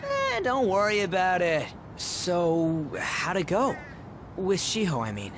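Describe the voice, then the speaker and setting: Brash, animated teenage boy. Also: high-pitched, slow, background noise, full character voice. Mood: excited. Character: brash, slangy teenage delinquent boy with a good heart. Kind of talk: English video game dub.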